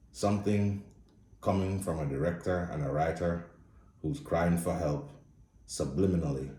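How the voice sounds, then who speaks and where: A man's voice: extremely low pitch, dark tone, echoey room, recorded from a distance, a little hiss or hum. A man with a Jamaican accent giving a blunt, profane, casual movie review. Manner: blunt, profane, speaks straight with no filter. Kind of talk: casual opinionated review monologue.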